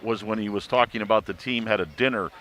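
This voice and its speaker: A man's voice: lowish voice, steady tone, quick pace, a bit bright. A man who works as a sports radio announcer, talking emphatically in a pre-game panel discussion. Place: sports arena. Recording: clear background noise, venue ambience.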